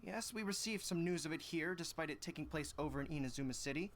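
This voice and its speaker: An actor playing a stern, dutiful army general in a video game, speaking in a measured, formal, authoritative way. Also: male voice, on the high side, natural voice. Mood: guarded.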